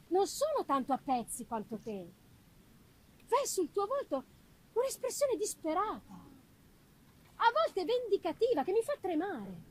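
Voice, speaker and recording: A woman's voice: very high voice, low-quality recording. A woman voicing an anguished, tender young woman who pleads with her beloved cousin in a dramatic reading.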